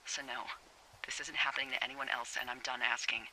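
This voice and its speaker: Bright, wry American lookout on radio. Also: female voice, rumbling low, little pitch movement, fairly quick, a little hiss or hum, heavily acted, rough recording. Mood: tense, fed up. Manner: playful, breezy, wry. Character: wry, chipper, foul-mouthed adult woman fire lookout. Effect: two-way radio. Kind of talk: naturalistic video game dialogue.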